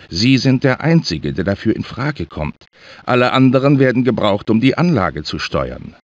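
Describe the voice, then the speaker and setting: Man playing an anxious scientist, very varied pitch. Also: no echo, dull audio, close to an everyday voice. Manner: formal, precise, explanatory. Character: anxious, learned male research scientist. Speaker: video game character. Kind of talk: scripted video game dialogue.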